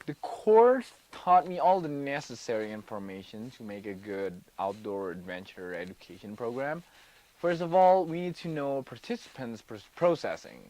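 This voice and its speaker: Halting young man from Indonesia. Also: mid-range voice, expressive, darkish tone, poor audio. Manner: careful, halting delivery with restarts. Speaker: student guest. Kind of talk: mock TV interview, explaining coursework.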